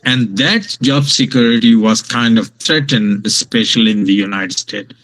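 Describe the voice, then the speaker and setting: An older man from Bangladesh, a professor giving a lecture from his home over a video call, pausing often with fillers and restarts. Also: on the low side, fairly bright tone, low-quality recording. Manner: slow, halting delivery with many fillers and restarts. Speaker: guest lecturer.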